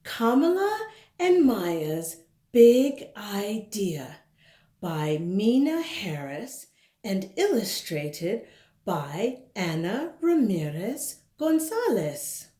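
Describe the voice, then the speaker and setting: A woman reading a picture book aloud to children in an expressive way, with conversational asides. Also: medium pitch, very slow. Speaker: storybook reader, host. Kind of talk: animated read-aloud for children. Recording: roomy indoor echo.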